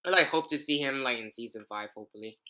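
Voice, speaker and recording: Male podcast host, bright tone, loose rambling casual talk full of filler words. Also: medium pitch, fast. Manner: rambling, leans heavily on "like". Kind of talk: casual chat.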